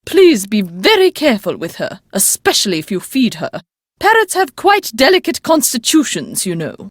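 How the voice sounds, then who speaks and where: Elderly English great-aunt, upper-class accent, high-pitched, very animated, prim, haughty, stern but fond, game dialogue. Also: female voice, dry recording, clean studio sound, exaggerated voice. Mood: genteel, helpful. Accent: English, upper-class British. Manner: haughty, proper, emphatic, theatrical. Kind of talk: video game character dialogue.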